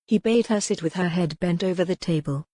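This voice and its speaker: Woman reading a romance audiobook, slightly low voice, really dry close recording. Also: a little fast, dark tone, no echo. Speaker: audiobook narrator. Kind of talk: scripted narration of historical romance novel.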